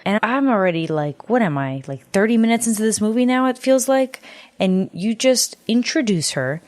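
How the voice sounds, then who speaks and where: A woman's voice: fairly high pitch, expressive, moderate pace, no echo. A woman co-hosting a casual, profane chat, ranting emphatically in exasperated slang. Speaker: podcast co-host reviewing a horror film. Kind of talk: casual, profane film discussion.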